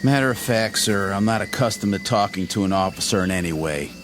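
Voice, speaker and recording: An actor playing a tired, mildly insolent army private in a radio drama, casual and a little hesitant. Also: male voice, on the low side, a little fast, close to an everyday voice. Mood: dry, insolent. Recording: dramatic music under the dialogue.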